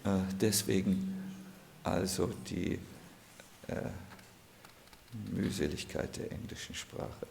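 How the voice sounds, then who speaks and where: A man, a spiritual teacher, giving an informal, gently joking talk to a seated audience in a room. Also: low-pitched, vocal fry, a little hiss or hum. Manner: unhurried, gently joking, thinking aloud. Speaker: spiritual teacher leading an evening gathering. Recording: live audience heard around the speaker.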